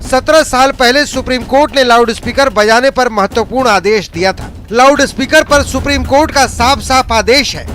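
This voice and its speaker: Very high-pitched, bright male news reporter. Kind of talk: scripted news narration, emphatic.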